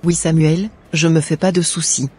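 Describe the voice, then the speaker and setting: A woman plays a devout, studious cartoon schoolgirl. Her voice is notably low, dark and husky, with music playing behind it. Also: flat delivery, normal speed. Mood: calm, assured. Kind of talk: scripted cartoon dialogue.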